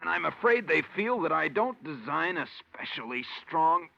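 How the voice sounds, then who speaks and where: A man's voice: on the high side, sing-song, normal speed, gravelly, very noisy. A man playing a sardonic, beaten-down architect with weary, eloquent delivery in a vintage radio drama. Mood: bitter, hurting. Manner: weary, cynical, theatrically eloquent. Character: bitter, beaten, sardonic male small-town architect. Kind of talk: 1950s radio acting. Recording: dull old radio recording.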